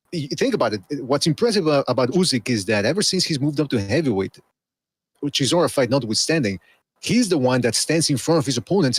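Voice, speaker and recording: A man's voice: medium pitch, breathy edge. A man co-hosting a boxing podcast, talking in a rambling casual way with many restarts. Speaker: podcast co-host.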